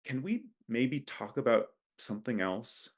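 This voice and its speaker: A man playing an anxious, housebound high school boy who speaks carefully and with concern. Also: sing-song, a little slow. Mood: uneasy, evasive.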